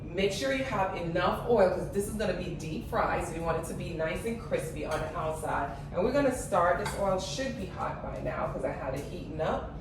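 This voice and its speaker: A woman's voice: lowish voice, expressive, brisk, few pauses. Chatty woman in an echoey kitchen. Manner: chatty, step-by-step, addresses viewers as guys. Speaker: cooking channel host. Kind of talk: casual cooking demo, talking to viewers. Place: home kitchen. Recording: echoey kitchen, mic far off, noisy.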